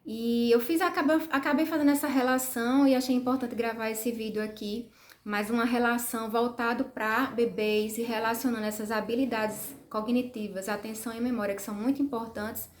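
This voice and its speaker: A woman, a speech therapist explaining to parents in a didactic way, often checking in with "tá?" and slowing down to stress words. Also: a little high, steady tone. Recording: echoey room.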